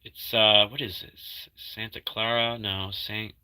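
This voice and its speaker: Bright-voiced man rambling on a livestream. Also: fairly low pitch, flat delivery, smooth. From Argentina. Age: 30s. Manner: rambling, halting, full of fillers and restarts; upbeat when greeting viewers. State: sick. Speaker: livestreamer reading chat. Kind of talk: casual live-stream chat.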